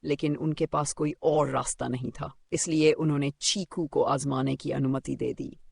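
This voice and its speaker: Gravelly male storyteller, dry recording. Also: on the high side, dry recording. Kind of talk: scripted children's moral story narration.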